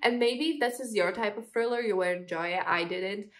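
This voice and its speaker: A woman's voice: mid-range voice, a little fast, a bit bright. A young adult woman with a congested voice from a cold, chatting casually to camera as a book channel host, with lots of fillers and the odd laugh. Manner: chatty, frequent fillers, laughs while talking. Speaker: booktube host. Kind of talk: casual book review chat.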